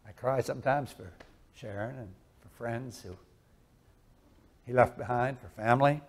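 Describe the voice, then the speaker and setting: An older man in his sixties preaching a sermon from a lectern in a small chapel, moving between loud emphasis and soft, hushed lines while gesturing with his hands. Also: mid-range voice, moderate pace. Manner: animated preaching, wide swings from loud emphasis to soft hush. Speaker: pastor.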